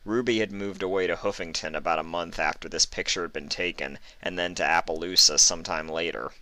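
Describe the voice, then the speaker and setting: A man's voice: low-pitched, fairly even intonation, brisk, bright, forceful tone, dry recording, rough recording. A man reading a scripted story aloud as its narrator.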